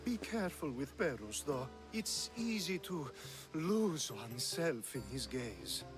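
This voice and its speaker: A man's voice: mid-range voice, big pitch swings, a little slow, music in the background. Sly, flirtatious, swooping Greek nobleman. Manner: playful, teasing, theatrical. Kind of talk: video game cutscene acting.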